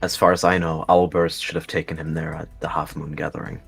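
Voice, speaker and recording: A man's voice: rumbling low, flat delivery, a little fast, creaky, a bit bright, husky, soft background music, full character voice. A man voicing a stern, guarded adult warrior cat mentor in a fantasy roleplay.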